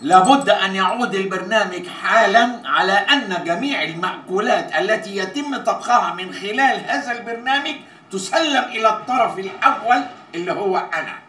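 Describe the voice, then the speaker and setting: An actor playing a pompous, demanding Egyptian TV employee in a comedy series, with an officious, declamatory manner. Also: male voice, high-pitched, expressive, a little fast, few pauses, pushed and bright. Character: pompous, demanding TV employee dictating absurd contract clauses. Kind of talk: Arabic comedy series acting. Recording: echoey room with faint background noise.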